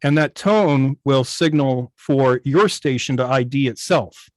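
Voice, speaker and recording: A man explaining his work informally on a video call, in a halting, detailed way. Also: medium pitch, no room sound, clean studio sound. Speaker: guest, radio station operator. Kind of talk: informal explanation in an online club meeting.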